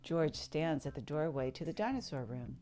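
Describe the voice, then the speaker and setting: Lady reading kids stories out loud, low voice, swoopy sing-song, faint music behind. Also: lively intonation, normal speed. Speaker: children's book reader. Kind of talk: read-aloud storybook narration.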